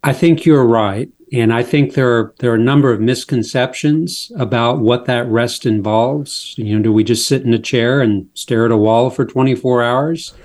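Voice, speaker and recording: A man speaking as a guest in a faith interview, explaining his subject in a measured, unhurried way. His voice sits at an ordinary pitch and he explains each point step by step. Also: darkish tone. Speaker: pastor and author, guest.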